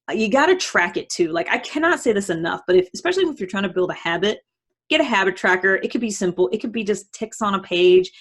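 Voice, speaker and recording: A woman's voice: mid-range voice, breathy edge. A woman giving casual motivational advice as a host, conversational and emphatic, often voicing imagined self-talk.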